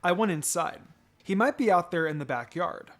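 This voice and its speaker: A man's voice: sits high, expressive, normal speed, fairly bright tone. A man reading fan fiction aloud as dramatic first-person narration.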